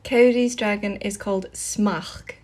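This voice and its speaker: A woman speaking a scripted explainer video, going through words methodically and clearly. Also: medium pitch, fairly even intonation, unhurried, a little mellow. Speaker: social media video creator.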